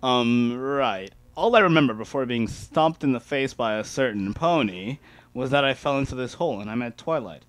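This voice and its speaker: A man's voice: mid-range voice, animated, normal speed. A man reading first-person fan fiction aloud as an amateur dramatic narrator.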